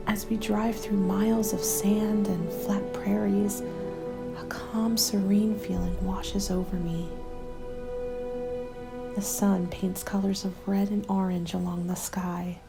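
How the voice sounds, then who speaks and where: A woman playing a weary, guarded survivor of a zombie apocalypse, narrating a diary in a hushed, breathy, measured ASMR style. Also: mid-range voice. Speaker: narrator of an audio roleplay. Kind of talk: ASMR scripted first-person diary narration. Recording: music laid under the voice.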